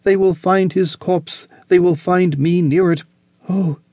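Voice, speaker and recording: Man doing a frightened young woman, high, pleading, frantic, dry sound. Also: animated, unhurried, warm tone, no room sound. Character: terrified young captive woman.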